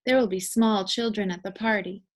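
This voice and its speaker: A woman voicing a fussy, gentle storybook mother who corrects in a prim manner. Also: medium pitch, steady tone, moderate pace, lightly acted. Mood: cautioning.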